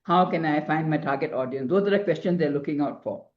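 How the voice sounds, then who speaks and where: A woman's voice: sits low, fast. A middle-aged woman from Singapore, a marketing consultant giving advice as an interview guest, rambling with many restarts. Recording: dull lo-fi sound.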